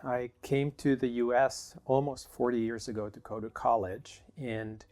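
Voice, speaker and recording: A middle-aged man from Finland, a professor answering interview questions in a thoughtful, measured way with small restarts. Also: medium pitch, fairly even intonation, darkish tone. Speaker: political science professor.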